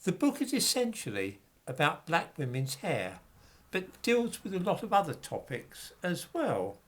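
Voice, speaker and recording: A middle-aged man talks to camera, reading out passages and breaking off with dry, dismissive remarks. His voice is slightly husky and his pitch rises and falls in a lively way. He sounds sarcastic and unimpressed. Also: unhurried. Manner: dismissive, sarcastic asides while reading quotes. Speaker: commentator on history books. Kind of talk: reading aloud and commenting to camera.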